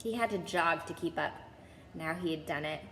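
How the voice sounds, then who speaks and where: A woman's voice: low voice, moderate pace, fairly bright tone. A woman reading a children's novel aloud as a storyteller. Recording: echoey hall, faint background noise.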